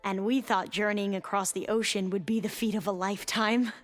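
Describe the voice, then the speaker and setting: An actress playing a nervous, earnest young woman scholar in a video game, speaking haltingly in formal, learned wording. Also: mid-range voice, fairly even intonation, lightly acted. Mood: eager, awed. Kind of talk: video game cutscene dialogue.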